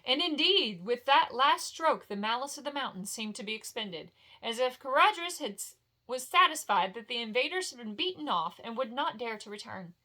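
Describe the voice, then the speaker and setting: Female storyteller: bright voice, expressive fantasy narration, homely and informal, like a bedtime read-aloud. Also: a little high, normal speed, hardly any pauses. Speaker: storyteller reading a novel aloud.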